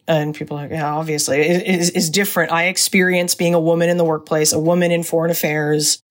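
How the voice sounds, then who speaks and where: A woman with a deep voice, a former diplomat speaking candidly and reflectively as a podcast guest. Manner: candid, reflective, animated storytelling. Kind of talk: podcast interview.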